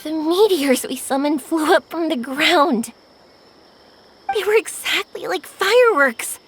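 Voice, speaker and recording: A woman voicing a cheerful, warm-hearted young fireworks maker in an anime-style game, effusive and expressive. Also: sits high, slight background noise. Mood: delighted, awed. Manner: effusive, expressive, drawn-out emphasis, giggly. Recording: background music under the voice.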